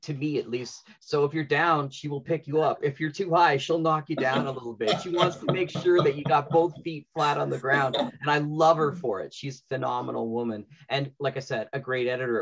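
A middle-aged man hosts a panel in a conversational interview style. His pitch is average for a man, and the video call leaves the sound a little dull. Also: fairly bright tone. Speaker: panel host. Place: indoor room at home, video call.

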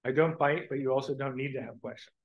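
A middle-aged man giving a conference keynote from a podium in a lecture room, thoughtful and halting, restarting his phrases. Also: medium pitch, fairly even intonation, muffled recording. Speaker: keynote speaker. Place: lecture room with large windows. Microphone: podium microphone.

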